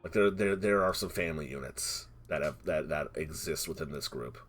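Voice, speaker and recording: A man narrating a tabletop roleplaying game as game master, halting and repeating words as he improvises. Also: extremely low pitch, fairly bright tone. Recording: music playing behind the voice. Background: music.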